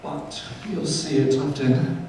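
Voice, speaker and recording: Man acting gentle grieving father, highish voice, echoey. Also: level tone, natural voice. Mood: tender, subdued. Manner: halting, earnest, tender. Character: gentle grieving poor father, tender and humble. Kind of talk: live stage radio play. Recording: very echoey stage, distant mic, faint noise.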